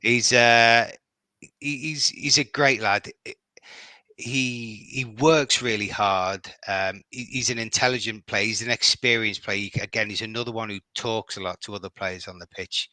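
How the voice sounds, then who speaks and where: Scouse man, middle-aged, guesting on a fan podcast; bright tone, stumbling repeats, dry close recording. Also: fairly low pitch, steady tone, moderate pace, dry recording. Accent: Liverpool. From Liverpool. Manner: hesitant, repeats words, warm praise. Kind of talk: casual podcast chat.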